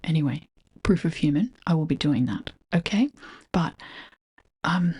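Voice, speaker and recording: A woman with an Australian accent, speaking softly and often whispering as she reads an old book aloud and muses over what she finds, recorded as a voice-over on a close microphone. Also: deep voice, animated, unhurried, slightly rough. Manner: soft-spoken, often whispering, musing aloud. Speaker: channel host reading an old book. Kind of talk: soft-spoken rambling read-through. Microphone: close voice-over mic.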